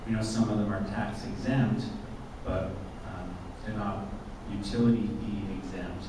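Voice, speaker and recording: A man from city staff answers residents' questions, breaking off and restarting as he goes. His fairly low voice carries from far off through a booming, echoey arena, with steady noise underneath. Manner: halting, with many restarts.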